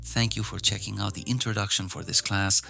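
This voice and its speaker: A man from Iceland teaching an online filmmaking class in a relaxed, gently encouraging way, with some fillers when he talks off the cuff. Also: lowish voice, fairly quick, creaky, music in the background. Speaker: film teacher. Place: outdoors in nature. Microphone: phone recording nearby.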